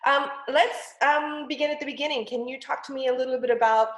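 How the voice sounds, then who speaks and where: A woman's voice: high-pitched, steady tone, brisk, reverberant. A woman from California hosting a podcast interview, asking questions with eager curiosity. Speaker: podcast host.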